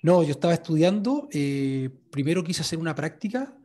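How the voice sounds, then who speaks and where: A sports journalist being interviewed, telling his story in a relaxed way, with many fillers and restarts. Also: fairly high pitch, fairly quick. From Chile. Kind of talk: interview answers.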